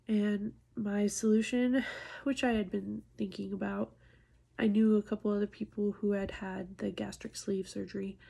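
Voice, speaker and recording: A woman in her early thirties talking casually and candidly to viewers in a personal vlog, halting and self-deprecating, with a husky, gravelly voice. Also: medium pitch, dark tone. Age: early 30s. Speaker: nurse and vlogger.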